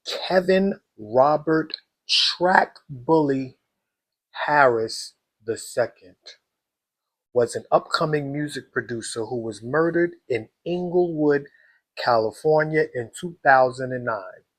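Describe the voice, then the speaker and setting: A man with a hoarse, worn voice speaks slowly and deliberately at a middling pitch. He hosts an astrology reading in a casual way and addresses his viewers directly. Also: expressive, a bit bright. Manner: slow, deliberate, talks straight to viewers. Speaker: astrology channel host.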